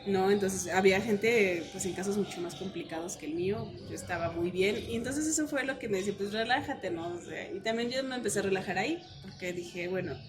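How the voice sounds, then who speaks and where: A Mexican woman speaks as a guest in a casual interview, at an ordinary pitch. She recounts her experience in an animated, conversational way, with music clearly audible behind her. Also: fairly quick, hardly any pauses. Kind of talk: casual personal storytelling in conversation.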